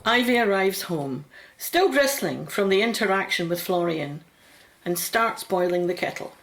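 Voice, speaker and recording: Female narrator, scripted radio drama, slow delivery, mid-range pitch. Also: expressive, soft background music.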